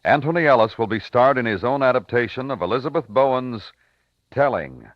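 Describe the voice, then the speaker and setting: A man announcing in formal, measured, dramatic 1950s radio style, reading from a script. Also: medium pitch, lively intonation, dry recording. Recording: dull vintage radio, music behind.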